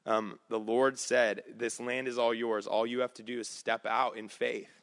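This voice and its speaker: A man preaching a conversational sermon, his voice slightly raspy, with frequent fillers and restarts. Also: mid-range voice, flat delivery, unhurried, fairly bright tone, no room sound. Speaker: pastor.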